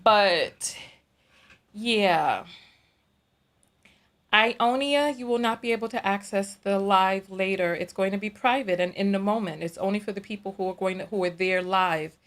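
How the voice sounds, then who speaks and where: A woman's voice: medium pitch, unhurried. Chatty New York woman hosting a livestream. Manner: chatty, animated, laughs often, repeats phrases. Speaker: livestream host. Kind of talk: casual live-stream chat reading viewer comments.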